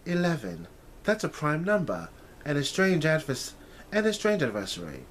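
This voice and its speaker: A man voicing an excitable, proper, well-bred young woman from a storybook, earnestly exclaiming and musing aloud. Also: fairly high pitch, normal speed, slight background noise, voice pushed up. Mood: puzzled musing. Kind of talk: storybook character reading.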